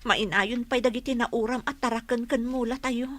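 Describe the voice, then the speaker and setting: An actress with an Ilocano accent playing an aggrieved, determined village daughter in a radio drama. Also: female voice, a little high, fairly even intonation, moderate pace, fairly bright tone, natural voice. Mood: grieving.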